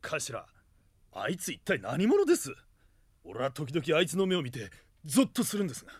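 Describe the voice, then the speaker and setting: A rough henchman in a radio drama. His voice is slightly high with wide swings, wary in tone, recorded very dry. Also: wildly swooping pitch, moderate pace, no room sound, heavily acted. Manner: rough underling speech. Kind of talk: Japanese radio drama acting.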